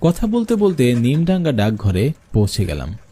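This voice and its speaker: A man's voice: medium pitch, wildly swooping pitch, normal speed, dark tone, slightly rough. A man playing an earnest postmaster, narrating his own ghost story expressively in a scripted cartoon. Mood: observant, uneasy. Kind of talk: first-person scripted cartoon horror narration. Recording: music behind the voice.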